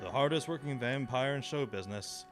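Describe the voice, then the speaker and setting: A man's voice: medium pitch, a little fast. A man announcing a show's guest stars and host in a scripted opening style. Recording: loud guitar music over voice. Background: loud guitar music.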